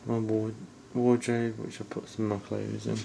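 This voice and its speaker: A middle-aged man giving a casual, low-key, off-the-cuff house tour as he walks around indoors with a handheld camera. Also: monotone, normal speed, vocal fry. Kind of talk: casual off-the-cuff narration while filming. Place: indoors, walking through rooms of a house. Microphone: handheld camera at arm's length. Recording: noisy handheld camera audio.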